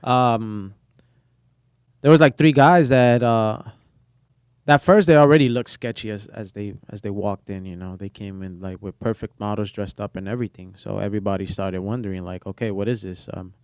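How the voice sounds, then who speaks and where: A man's voice: fairly low pitch, normal speed, clear, resonant tone, no room sound, studio quality. A young man, a barber whose first language is Portuguese, talking casually and rambling with lots of fillers in an interview. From Brazil. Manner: relaxed, rambling with many fillers. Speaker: barber guest.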